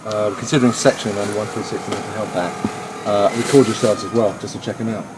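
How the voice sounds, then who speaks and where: Hesitant police officer over traffic noise. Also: male voice, on the low side, fairly even intonation, fast. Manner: hesitant, full of "uh"s, reporting. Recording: loud vehicle noise around voice.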